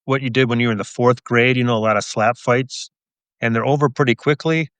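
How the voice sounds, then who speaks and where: A man who works as a police officer and author, answering interview questions in a measured, explanatory, conversational way. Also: medium pitch, fairly even intonation, a bit bright, clear, resonant tone, dry recording.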